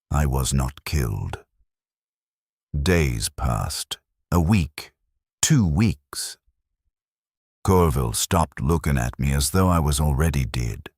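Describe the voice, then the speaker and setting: Male story narrator, extremely deep, detached and measured delivery with lively intonation. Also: dry recording, clean studio sound. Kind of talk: scripted first-person story narration.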